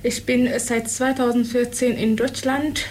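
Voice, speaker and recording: A woman's voice: fairly high pitch, little pitch movement, unhurried, a little mellow. A young woman in her twenties from Eritrea, introducing herself hesitantly as a radio guest. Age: 20s. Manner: hesitant, stumbling start. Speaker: interview guest.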